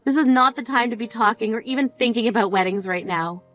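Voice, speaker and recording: A woman's voice: a little high, fast, faint music behind, close to an everyday voice. A woman playing Rita, a scolding grieving sister. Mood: incredulous. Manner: scolding, incredulous, cutting. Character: grieving older sister, exasperated, blunt woman. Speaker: sister at a funeral. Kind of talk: scripted dramatic dialogue.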